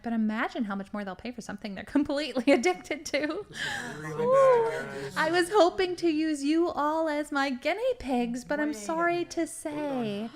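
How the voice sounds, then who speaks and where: An actress plays a female criminal mastermind in an audio drama. Her voice sits fairly high, and she tells her schemes with smug menace and theatrical emphasis. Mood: gleeful, menacing. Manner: theatrical, gloating storytelling with hushed dramatic emphasis.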